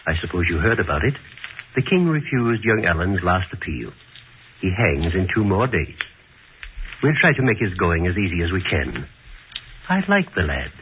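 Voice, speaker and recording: A kindly, dutiful prison warden with a lowish, very expressive voice. He speaks in an avuncular, matter-of-fact way in old radio drama style. The broadcast sound is narrow and faintly noisy. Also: moderate pace, long pauses. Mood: resigned sympathy. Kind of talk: 1940s radio drama acting. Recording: noisy, narrow-band 1940s radio recording.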